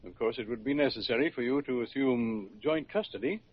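An actor in an old radio drama playing a fair-minded judge, formal, courteous and measured. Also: male voice, mid-range voice, natural voice. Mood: courteous, interested. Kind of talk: 1940s radio acting. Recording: dull, noisy old radio recording.

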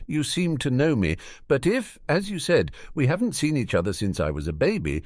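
A man's voice: high voice, very animated, fast, a bit bright, dry recording, clean studio sound, voice pushed up. A man voicing a polite, composed young boy wizard with courteous, formal speech in a storybook reading. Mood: politely pleasant.

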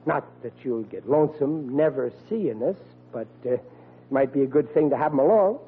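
A man's voice: mid-range voice, moderate pace, natural voice. An actor playing a blustering, dim-witted father of forty in an old radio comedy, talking in a folksy, ungrammatical, cocksure way. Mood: goading. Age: 40s. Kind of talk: old-time radio situation comedy acting. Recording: tinny, hissy old radio broadcast.

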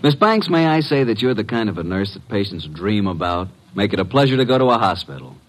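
Casting: breezy detective's assistant, fairly deep, smooth-talking and cheeky, classic radio drama. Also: male voice, very animated, brisk. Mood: flirtatious. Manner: breezy, casual, glib.

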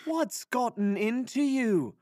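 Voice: medium pitch, lively intonation, slow pace, a little mellow, no echo, clean studio sound. A pompous, theatrical fantasy sorcerer. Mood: indignant. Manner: pompous, theatrical, haughty with flustered hesitations. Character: pompous, cocky, theatrical magical henchman sorcerer serving an empress. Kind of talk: fantasy audio drama acting.

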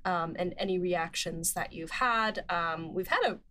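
A woman's voice: on the low side, measured pace, bright, forceful tone, slight background noise. A woman hosting a podcast in casual, unscripted chat, phrasing things informally and a little hesitantly. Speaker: podcast host and series writer.

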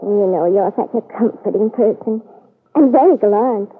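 A woman's voice: mid-range voice, moderate pace, slightly rough, lightly acted. A woman playing a gracious, politely probing houseguest in an old-time radio soap opera, with delicate, coy hesitations. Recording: tinny, noisy old radio broadcast.

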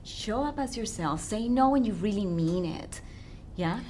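A woman's voice: mid-range voice, lively intonation, a little slow, slight background noise. A woman who works as a relationship coach, speaking as an interview guest and often checking in with her listener. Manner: warm, earnest, checks in with "yeah?".

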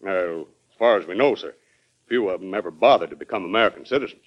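An actor playing a defensive, deferential state governor in a classic radio drama, shifting between hesitant deference and emphatic self-justification. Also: male voice, deep voice, quick pace, lightly acted. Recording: dull old radio broadcast recording.

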